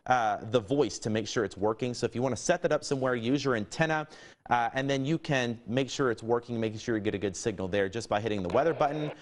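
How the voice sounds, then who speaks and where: A young man, a TV weather presenter, walks through instructions step by step in a casual, explanatory on-air manner. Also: mid-range voice. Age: young adult. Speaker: TV meteorologist. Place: indoor TV news studio.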